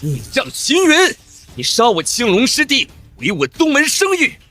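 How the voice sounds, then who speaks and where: A man's voice: very high-pitched, huge pitch swings, normal speed, bright, forceful tone, soft background music, exaggerated voice. A man as a wrathful old sect elder, wildly swooping voice. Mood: vengeful. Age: older. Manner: menacing, pompous, theatrical. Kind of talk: dramatic fantasy animated comic voice acting.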